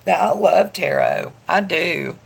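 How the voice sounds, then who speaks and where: A woman's voice: lowish voice, a little slow, gravelly, breathy edge. A woman, stuffed up from allergies, chatting casually with viewers on a tarot live stream. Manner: chatty, rambling, greets viewers by name. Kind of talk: casual live-stream chat reading comments aloud.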